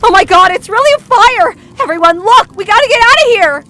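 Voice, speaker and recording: A woman voicing a haughty, dismissive high school girl with a condescending, expressive delivery in a manga dub. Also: moderate pace, lightly acted. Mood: panicked. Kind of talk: acted manga dub dialogue.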